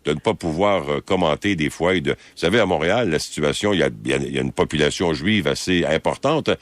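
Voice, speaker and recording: A man with a Quebec French accent hosting an overnight phone-in radio show, speaking slowly and hesitantly with drawn-out fillers. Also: rumbling low, no room sound. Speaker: overnight radio call-in host. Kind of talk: late-night phone-in talk radio. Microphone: studio microphone.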